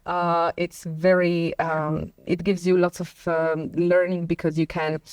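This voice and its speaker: A woman from Croatia and Bosnia, a guest on a company talk show, speaking in unhurried English with many fillers. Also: fairly low pitch, level tone, clear, resonant tone, no room sound. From Croatia and Bosnia and Herzegovina. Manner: hesitant, many fillers, laughs between points. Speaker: podcast guest. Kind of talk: casual conversation.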